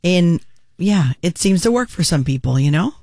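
A woman's voice: on the low side, expressive, normal speed, dark tone, no echo, clean studio sound. A woman co-hosting a morning radio show, chatting casually and reading celebrity news with quips. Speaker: radio co-host. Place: radio studio. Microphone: studio microphone.

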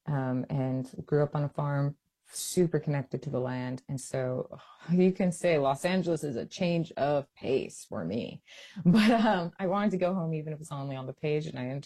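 A young adult woman from Hawaii, an author, chatting in an interview; she is animated, laughs often and uses lots of fillers. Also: sits low, moderate pace. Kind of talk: interview conversation.

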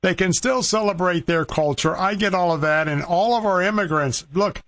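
An American man speaks as a talk radio host in a fairly high voice, recorded close and dry. He argues his opinions haltingly, often repeating the start of a phrase before pushing on with it. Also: fairly bright tone, dry recording. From Tampa, Florida, United States. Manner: halting, repeats and restarts phrases while making a point.

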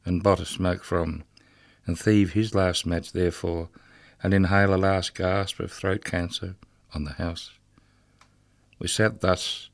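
A man's voice: low-pitched, gravelly, no echo, clean studio sound, voice pushed down. A man reading as a bitter condemned prisoner. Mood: mocking. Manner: rhythmic, sardonic recitation. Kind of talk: poetry reading.

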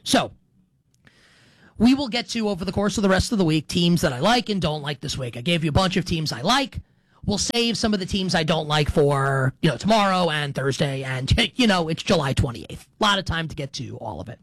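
A man's voice: fairly high pitch, animated, a little fast, a bit bright, no echo. Middle-aged male sports talk host, dry sound. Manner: conversational, digressive, repeats and qualifies himself for emphasis. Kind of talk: casual sports talk monologue.

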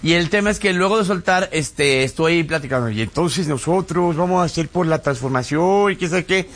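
A man's voice: on the high side, brisk, a bit bright. A man with a Mexican accent hosting a radio talk show, joking and laughing through slangy banter. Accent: Mexican Spanish. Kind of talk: casual comic banter.

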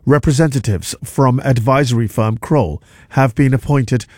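A man reads radio news headlines in a measured, formal way. His voice sits at an ordinary pitch. The studio sound is dry and close. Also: darkish tone, no room sound. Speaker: newsreader. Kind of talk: news bulletin reading.

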